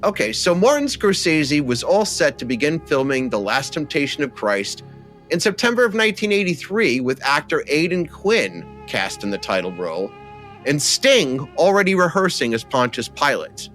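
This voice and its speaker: A man's voice: a little high, sing-song, normal speed, a bit bright, faint music behind. A man co-hosting a film discussion podcast, talking with wry, theatrical flourishes. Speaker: podcast co-host. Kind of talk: film discussion podcast, chat and read-out copy.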